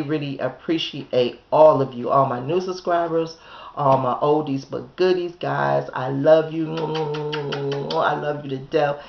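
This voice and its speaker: Slow, gravelly-voiced hoarse woman vlogger. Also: rumbling low. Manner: chatty, asks "okay?" often. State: hoarse from a chest cold. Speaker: home decorating channel host. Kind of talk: casual vlog chat. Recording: echoey room, dull lo-fi sound.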